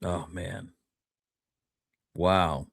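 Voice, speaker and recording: Low voiced guy cohosting, dry sound, casual questions and short reactions. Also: flat delivery, drawn-out words, vocal fry, husky, no room sound. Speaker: co-host. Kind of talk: casual chat.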